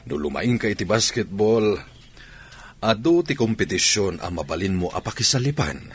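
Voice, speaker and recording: A man's voice: fairly low pitch, lively intonation, moderate pace, husky. A man acting as an encouraging, authoritative sports coach in a radio drama, with an Ilocano Filipino accent. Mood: firm, encouraging.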